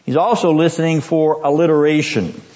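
A man teaching a seminary class on biblical poetry, deliberate and emphatic, repeating his points and often clearing his throat. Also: fairly high pitch, fairly even intonation, darkish tone. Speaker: seminary lecturer. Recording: dull lo-fi classroom recording.

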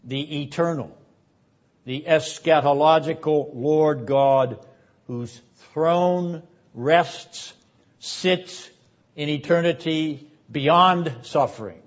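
A man delivering a prayerful, rhetorical sermon lecture in a solemn, incantatory manner, with repeated phrases and deliberate pauses. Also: fairly high pitch, dull audio. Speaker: seminary dean and Bible teacher.

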